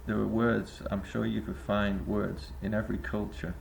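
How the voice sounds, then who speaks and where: A man's voice: on the low side, level tone, normal speed, vocal fry, slight background noise. A man giving a reflective spoken essay to camera as a vlogger, rambling and hesitant with many filler sounds. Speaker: vlogger and busking singer.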